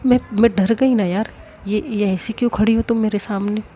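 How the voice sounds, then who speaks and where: A woman's voice: mid-range voice, steady tone, normal speed, mellow, a little hiss or hum, lightly acted. A woman voicing a young woman who defends herself to a friend, speaking insistently and stumbling over repeated words. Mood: upset, defensive.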